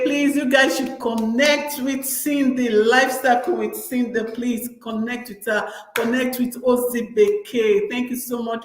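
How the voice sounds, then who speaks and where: A woman's voice: on the high side, reverberant, low-quality recording. A young Nigerian woman with a Nigerian accent hosting a casual advice vlog, chatty and talking straight to her viewers, often repeating and restarting her words. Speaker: vlogger.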